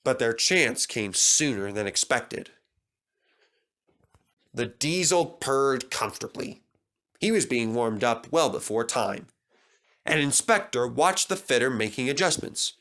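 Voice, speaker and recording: A man reading a children's story aloud as a storyteller, in an animated narrating style. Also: mid-range voice, a little slow.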